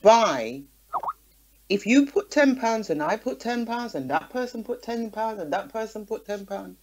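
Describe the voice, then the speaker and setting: A woman's voice: fairly high pitch, lively intonation, unhurried, low-quality recording. Emphatic woman in radio discussion. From Caribbean community in the UK. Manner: emphatic, punctuating points with rhetorical questions. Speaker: radio talk show guest. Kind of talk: passionate conversational radio discussion.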